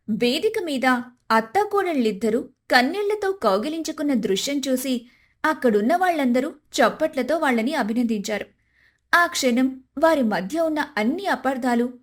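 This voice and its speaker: A woman's voice: high-pitched, lively intonation, normal speed. A woman narrating a scripted moral story as storyteller.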